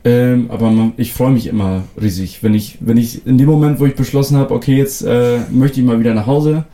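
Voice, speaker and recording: A young man from the North Sea island of Föhr whose first language is North Frisian, answering interview questions in a relaxed way with many fillers and restarts. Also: medium pitch, fairly quick. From Föhr, northern Germany. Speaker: musician guest.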